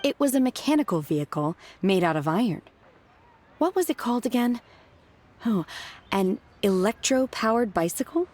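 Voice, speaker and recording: A woman's voice: a little high, animated, moderate pace, darkish tone. An actress playing a reserved, devoted young woman disciple in a video game, speaking politely and plainly over music. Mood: earnest. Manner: polite, formal, plain-spoken. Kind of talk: video game character dialogue.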